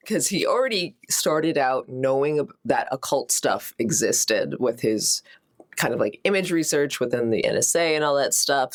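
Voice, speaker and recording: Halting, casual player with a mid-range voice. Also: mid-range voice, dry recording. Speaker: tabletop game player. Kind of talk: casual chat.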